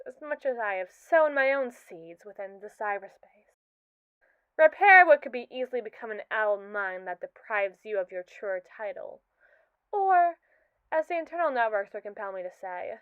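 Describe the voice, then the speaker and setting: A woman voicing a condescending, obsessive android in an ASMR roleplay, speaking in formal, precise sentences with a smooth voice. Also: a little high, no echo. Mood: haughty. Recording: tinny, dry, phone-like sound.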